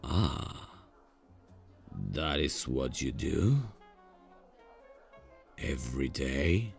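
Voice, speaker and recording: Man voicing a very deep, slow, gravelly demon. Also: soft background music, exaggerated voice. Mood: dry, curious. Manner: slow, stilted, halting, deadpan. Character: awkward, menacing male demon on a blind date. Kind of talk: ASMR audio roleplay, comedic fantasy.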